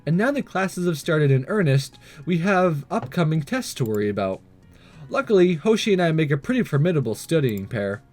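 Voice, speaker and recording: A man's voice: fairly high pitch, sing-song, fairly quick, a little mellow, faint music behind. A man voicing a supportive, honest teenage student in a visual novel, plain-spoken and encouraging. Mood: advising. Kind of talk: visual novel dialogue read aloud.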